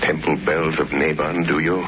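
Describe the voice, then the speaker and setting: Ominous phantom crime-fighter, extremely deep, deliberate, calmly mocking; muffled vintage radio serial. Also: male voice. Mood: menacing. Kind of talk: 1930s radio drama acting. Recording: noisy muffled old radio recording.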